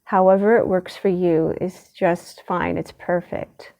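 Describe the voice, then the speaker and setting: A woman leading a gentle guided meditation in a soothing, unhurried way, leaving long pauses between short phrases. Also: on the low side, steady tone, creaky.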